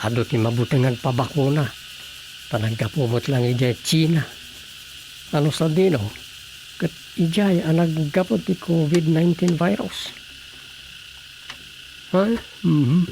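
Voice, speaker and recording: A comic village clerk, drowsy and creaky, with a slightly high voice and an Ilocano accent. He mutters to himself between interjections, in a radio comedy with faint background noise. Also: a little slow, long pauses, warm tone, rough recording. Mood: musing.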